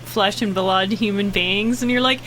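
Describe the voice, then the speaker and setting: An American woman in casual banter, animated and quick to interject. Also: mid-range voice, a little hiss or hum, rough recording.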